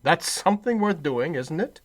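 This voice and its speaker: Animated, cheerful nephew, higher-voiced man acting. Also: normal speed, close to an everyday voice. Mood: earnest, pitying. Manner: animated, earnest, hearty. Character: Scrooge's cheerful, forgiving, good-humored nephew. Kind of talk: radio play acting.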